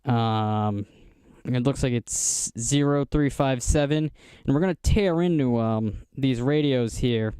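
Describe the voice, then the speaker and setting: An American man giving a casual, unscripted show-and-tell narration in a halting, explanatory manner. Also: mid-range voice, mellow, clear, resonant tone, dry recording. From United States. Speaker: vlogger showing vintage radio gear.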